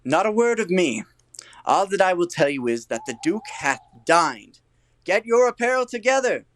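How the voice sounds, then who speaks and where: A man's voice: high-pitched, big pitch swings, moderate pace, a bit bright. A man playing an exuberant, self-important amateur actor in a Shakespearean audio play, theatrical and bustling in delivery. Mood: self-important, eager.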